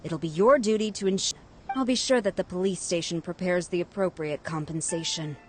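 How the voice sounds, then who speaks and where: An actress playing a stern female police superior in a video game, composed and commanding, with formal, measured phrasing. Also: lowish voice, lightly acted. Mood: formal, firm. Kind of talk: scripted game voice acting.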